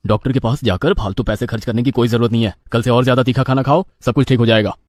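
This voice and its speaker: A man's voice: mid-range voice, fairly even intonation, fast, a little mellow, no echo, close to an everyday voice. Dismissive husband, male actor, cartoon moral tale, bossy and curt, mid-range, dry studio-like sound. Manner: brusque, bossy. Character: dismissive, demanding husband who loves spicy food.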